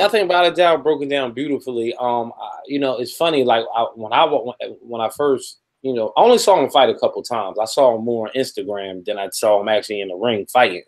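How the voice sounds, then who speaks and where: Conversational man, mid-pitched, talking boxing. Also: a little mellow. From Philadelphia. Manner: conversational, colloquial, often checks in with "you know". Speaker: boxing show panelist. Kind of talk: casual sports commentary.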